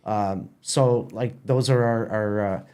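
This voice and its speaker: A middle-aged or older man chatting as an interview guest, halting and repeating words as he goes. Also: lowish voice, steady tone, darkish tone, smooth. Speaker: festival organiser, podcast guest. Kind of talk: casual interview chat.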